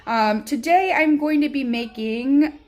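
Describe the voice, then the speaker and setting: A woman's voice: sits high, unhurried. Chatty Chicago mom in her kitchen. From Chicago area, US Midwest. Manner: chatty, lots of ums and likes. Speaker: home cooking vlogger. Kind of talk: casual cooking demo. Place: home kitchen.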